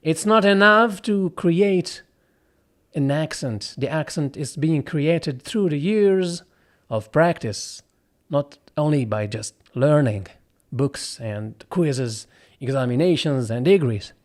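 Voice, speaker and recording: Slightly high-voiced man explaining. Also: lively intonation, slow. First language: Arabic. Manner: earnest and explanatory, with frequent restarts and asides. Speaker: voiceover actor. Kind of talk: casual talk to camera about his craft.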